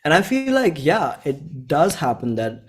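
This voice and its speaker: A man in his twenties from India with an Indian accent, talking informally and earnestly as an interview guest, in halting phrases. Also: on the high side, sing-song, slightly rough. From Bhopal, India. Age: 20s. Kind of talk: casual chat.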